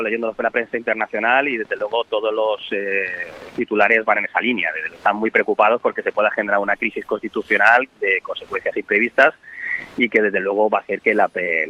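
A man with a low, husky voice who delivers worried, analytical commentary at a fast pace, often pausing on "eh". He comes through a dull, lo-fi line. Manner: worried, analytical, with frequent "eh" fillers. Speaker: economic commentator. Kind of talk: radio commentary.

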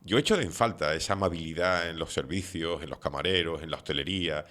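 A man's voice: low-pitched, quick pace, pushed and bright. A middle-aged man who is not from the islands, hosting an interview in a talkative, opinionated way with many fillers. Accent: non-Canarian Spanish. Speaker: radio show host. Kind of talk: conversational interview.

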